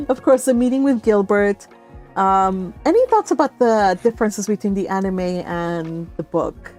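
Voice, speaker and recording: Millennial woman, medium pitch, livestream book-club host: chatty, animated, giggly, with music underneath. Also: a little slow. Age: 30s to early 40s. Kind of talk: casual live chat with viewers.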